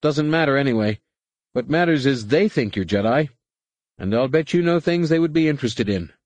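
A man's voice: mid-range voice, lively intonation, moderate pace, no echo, pitched above the performer's own voice, lightly acted. A man voicing a nervous, patronizing older male co-pilot turned traitor in a space adventure audiobook. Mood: smug, coaxing.

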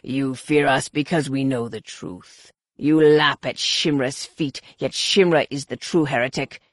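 Narrator acting a rasping, defiant alien outcast. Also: medium pitch, measured pace, dry recording, studio quality, lightly acted. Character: defiant, devout alien outcast tracker, battle-worn male.

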